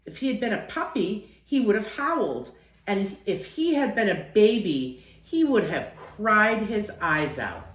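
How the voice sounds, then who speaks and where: A woman's voice: mid-range voice, sing-song, unhurried, echoey room, low-quality recording. A woman reading a children's picture book aloud as a storyteller, acting out phrases and lingering on key words.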